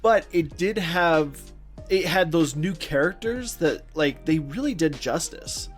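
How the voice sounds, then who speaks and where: A man's voice: a little high, expressive, unhurried, faint music behind. A man co-hosting a podcast, chatting casually about films and games in an enthusiastic, emphatic way. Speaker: podcast co-host.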